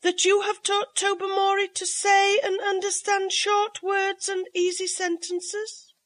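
A woman doing an incredulous, high-voiced lady guest. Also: little pitch movement, measured pace, a bit bright, no echo. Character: doubtful, inquisitive society lady guest.

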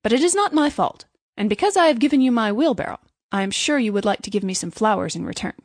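A woman's voice: on the high side, lively intonation, quick pace, no echo. Woman voicing a pompous, preachy storybook miller man, very dry sound. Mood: guilt-tripping. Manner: preachy, self-satisfied, emphatic. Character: pompous, self-righteous, greedy male miller, falsely generous. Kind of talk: storybook character voice.

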